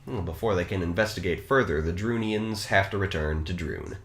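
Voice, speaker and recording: Deep-voiced man, echoey room. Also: a little fast. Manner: self-conscious, earnest, chuckles often. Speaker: podcast host. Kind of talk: casual podcast narration and chat. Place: room in a home.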